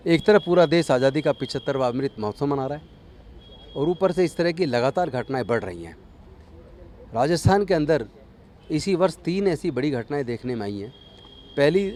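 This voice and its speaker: A man's voice: a little high, a little mellow. A man speaking earnestly and emphatically in phrases, giving a political statement to a news reporter. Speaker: politician interviewee. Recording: noisy outdoor urban interview.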